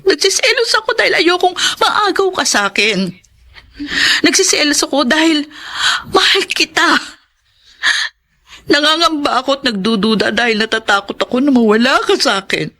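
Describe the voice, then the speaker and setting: An actress playing a betrayed, jealous wife, heartbroken and bitter yet still in love, in an intense, emotional radio drama style. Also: female voice, sits high, big pitch swings, moderate pace, long pauses, sharp tone, exaggerated voice. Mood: hurt, accusing. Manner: emotional, intense, anguished delivery. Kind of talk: melodramatic Tagalog radio drama acting.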